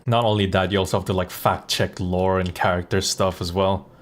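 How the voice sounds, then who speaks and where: Low-pitched man rambling casually on a game stream. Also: level tone, brisk. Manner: rambling, thinking aloud. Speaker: live streamer. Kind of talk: casual chat while playing a game.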